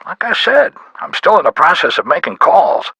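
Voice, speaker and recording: Helpful tough investigator, video game, husky forceful voice, colloquial, clipped phrasing. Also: male voice, high voice, sing-song, normal speed, no echo, heavily acted. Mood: helpful, animated. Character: folksy, helpful tough-guy investigator making calls. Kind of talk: video game character voice lines.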